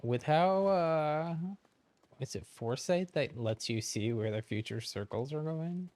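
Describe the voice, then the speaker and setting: A man's voice: on the high side, lively intonation, unhurried, darkish tone, a little hiss or hum. A man giving casual live gameplay commentary as a streamer, thinking aloud in an easygoing way. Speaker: game streamer. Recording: game music behind the voice.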